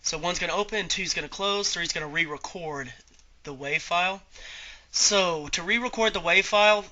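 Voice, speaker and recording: Bright-voiced man teaching software. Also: sits high, normal speed. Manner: informal, talks through each click aloud. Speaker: software training instructor. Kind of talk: informal step-by-step screen tutorial. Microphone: voice-over on a screen recording.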